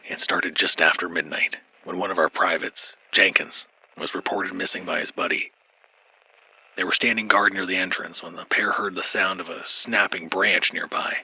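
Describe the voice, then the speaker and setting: A man narrating a scripted horror story as a frightened, doomed soldier, in a grim, deliberate, formal manner. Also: low voice, fairly even intonation, creaky, pushed and bright, husky, full character voice, rough recording. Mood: grim, uneasy.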